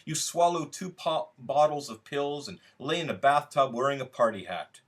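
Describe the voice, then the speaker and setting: A man's voice: mid-range voice, normal speed, breathy edge, lightly acted. A man reading a literary monologue as a needy, sarcastic, lonely storyteller, with emphatic swings in delivery. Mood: mock excited. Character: needy, sarcastic, aggrieved lonely storyteller addressing a bored companion. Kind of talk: dramatic first-person literary reading.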